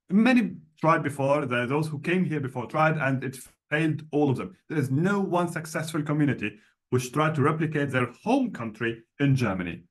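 A middle-aged man talking from a room with bookshelves over a video call, explaining his views with many restarts and asides. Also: mid-range voice. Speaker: interview guest. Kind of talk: casual video call interview.

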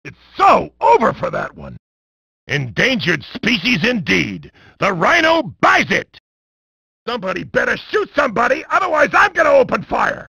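Raspy, bombastic arena combat announcer. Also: male voice, very high voice, wildly swooping pitch, normal speed, bright, forceful tone, no room sound, heavily acted. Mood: excited, bellowing. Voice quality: gravelly, raspy. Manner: hammy, wisecracking, often bellowing. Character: bombastic, gleefully violent male arena combat sports announcer. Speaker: game announcer. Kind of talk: over-the-top sports commentary for a video game.

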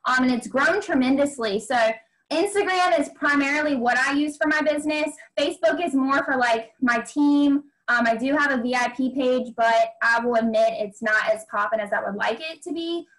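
High-pitched twenty-something woman, chatty trainer, lots of ums and likes. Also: moderate pace, fairly bright tone, rough recording. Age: 20s. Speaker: business trainer. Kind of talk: casual training talk.

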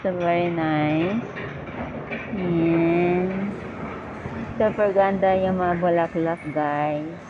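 A woman's voice: on the low side, fairly even intonation, drawn-out words, pauses often. A young woman vlogging on a phone as she walks through an indoor shopping mall, chatting and joking with her viewers. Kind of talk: casual vlog narration to viewers. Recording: dull lo-fi phone audio with mall noise.

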